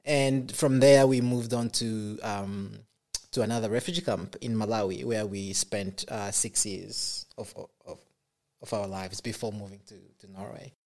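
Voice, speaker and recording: A young man from Congo speaks as a podcast guest. He talks softly and haltingly, restarting his sentences and filling pauses with "um" and "you know.". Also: lowish voice, steady tone. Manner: soft-spoken, halting with many fillers and restarts. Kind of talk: casual interview chat.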